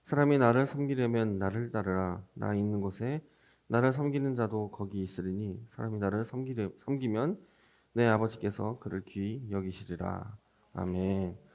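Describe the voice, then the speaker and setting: Low-voiced man preaching a Sunday sermon. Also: moderate pace, gravelly, a little mellow. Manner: explanatory, conversational, poses questions to the congregation. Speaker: pastor preaching. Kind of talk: Sunday sermon with scripture reading.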